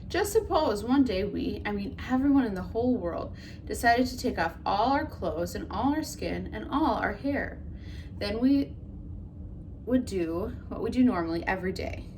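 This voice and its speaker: A woman teacher reading a picture book to children, her pitch rising and falling in a lively way. She tells the story gently and puts questions to her young listeners. Clear background noise sits under her voice. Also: measured pace, poor audio.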